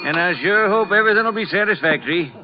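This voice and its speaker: An actor playing an aging small-town store owner, folksy and stubborn, in an old-time western radio drama. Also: male voice, high-pitched, quick pace, noisy background, natural voice. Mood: friendly, weary. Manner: folksy, hesitant country speech.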